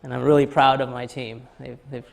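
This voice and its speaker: Man from Thailand, thirties, conference talk, halting, lots of fillers, mid-pitched voice. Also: flat delivery, gravelly. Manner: halting, many uh fillers and restarts. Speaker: guest speaker. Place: lecture hall.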